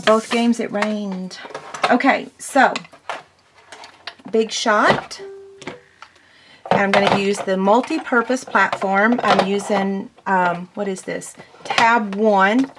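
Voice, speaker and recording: A woman demonstrating paper crafts in a casual tutorial, her voice squeaky from strain, chatting through each step with ums. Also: steady tone, slow pace, noisy background.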